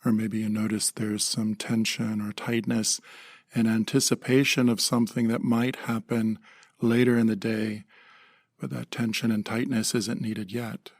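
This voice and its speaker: A man leading a guided meditation in a calm, gentle manner, in short measured phrases with pauses. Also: on the low side, dry recording, studio quality.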